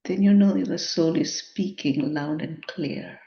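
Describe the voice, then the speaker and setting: A woman leading a guided meditation in a measured, contemplative manner, leaving long pauses between phrases. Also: low voice, vocal fry, mellow. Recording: dull, muffled lo-fi sound.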